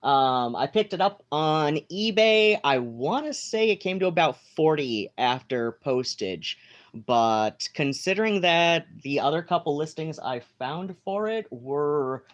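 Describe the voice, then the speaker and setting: Slow, rambling home live-streamer. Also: mid-range voice, lively intonation, dull audio. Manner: meandering, many fillers and restarts.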